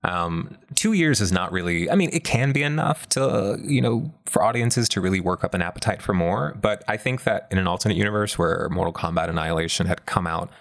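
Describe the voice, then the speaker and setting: A man speaks in a low voice with a gravelly fry. He co-hosts a casual discussion in an analytical way. His phrasing is thoughtful, with repeated words as he builds a point. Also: fairly quick, hardly any pauses. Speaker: talk show co-host.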